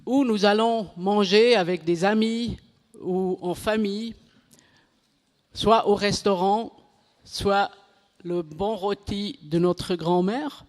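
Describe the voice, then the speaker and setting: A woman giving a conference talk in French, which is not her first language, halting and correcting herself as she goes. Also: medium pitch, darkish tone. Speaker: conference speaker. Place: conference hall. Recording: reverberant hall.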